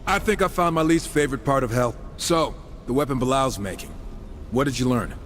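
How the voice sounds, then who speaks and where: A man's voice: medium pitch, brisk, fairly bright tone, slight background noise, lightly acted. An actor playing a wisecracking, irreverent horseman of the apocalypse in a game, with sarcastic, casual banter. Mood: sardonic. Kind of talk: video game cutscene acting.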